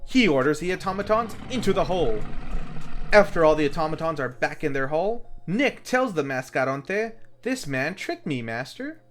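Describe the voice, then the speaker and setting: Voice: mid-range voice, a little fast, soft background music. A host narrating a scripted comedic film recap in a wry, storytelling way. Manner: wry, animated storytelling with acted quotes. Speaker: host of a film review series.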